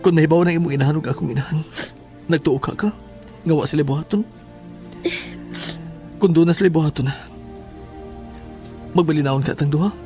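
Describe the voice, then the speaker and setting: A man's voice: fairly high pitch, a little fast, long pauses, mellow, soft background music, lightly acted. A man playing an earnest, troubled young lover in an audio drama. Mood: earnest, worried. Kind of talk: Filipino audio drama dialogue.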